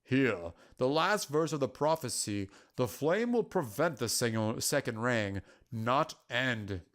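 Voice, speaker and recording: A man performs a solemn keeper of prophecy for a fantasy story. He is patient and cryptic, choosing formal, old-fashioned words and setting them out in a measured way. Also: medium pitch, animated, a bit bright. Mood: firm, reassuring. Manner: measured, formal, archaic phrasing.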